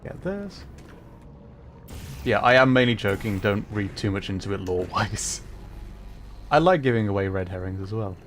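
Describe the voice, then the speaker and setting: A man's voice: lowish voice, lively intonation, moderate pace, pauses often, a little hiss or hum. A man streaming a puzzle game as a VTuber, giving chatty, self-deprecating commentary and laughing often. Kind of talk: casual gameplay commentary.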